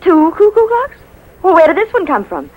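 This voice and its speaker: An actress playing a gentle, affectionate young mother in a 1950s radio drama. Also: very high voice, very animated, normal speed, low-quality recording. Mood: surprised, fond.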